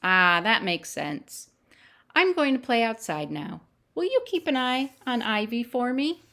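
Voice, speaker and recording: A woman's voice: fairly high pitch, sing-song, measured pace, bright, forceful tone, exaggerated voice. A woman voicing an earnest, polite young storybook child with a questioning lilt and exaggerated politeness. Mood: earnest, curious.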